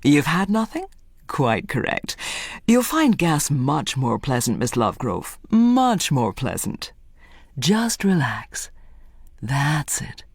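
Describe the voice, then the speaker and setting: A woman voicing a gentle, hearty dentist in an audio story, soothing and over-polite with repeated reassurances. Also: low-pitched, sing-song, slow, breathy edge, no room sound, clean studio sound, full character voice. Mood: pleasant, soothing. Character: gently hearty antiseptic dentist, solicitous, professionally cheerful.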